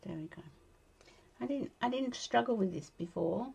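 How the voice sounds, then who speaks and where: An Australian woman with a tired, croaky voice chatting casually and laughing as she demonstrates card making at her craft desk. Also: fairly high pitch, expressive, normal speed, dark tone. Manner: chatty, laughs often, mutters to herself while working. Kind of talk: casual crafting tutorial chat. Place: indoor craft desk, overhead camera.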